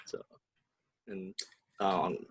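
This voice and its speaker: A young man from Hawaii talking on a casual student podcast, hesitant and halting, with many pauses. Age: young adult.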